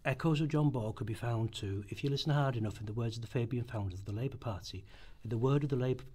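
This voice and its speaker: A man reads scripted historical narration in a rehearsal. His voice sits at a middling pitch with a slight husk, and he occasionally trips over a phrase. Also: quick pace, dark tone, slightly rough. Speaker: narrator in a radio play rehearsal. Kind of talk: scripted documentary-drama narration, read aloud.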